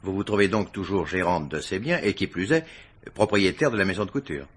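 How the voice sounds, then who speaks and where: An actor playing a formal, persistent police commissioner questioning witnesses in a French radio mystery play. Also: male voice, low-pitched, expressive, husky. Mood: probing, suspicious. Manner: formal, insistent questioning with deliberate hesitations.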